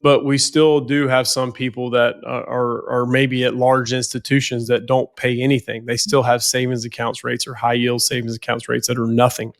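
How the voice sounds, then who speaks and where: A man's voice: mid-range voice, little pitch movement, moderate pace, creaky, hardly any pauses. A financial planner with a head cold, sounding nasal, talking casually on a podcast and often repeating words as he goes.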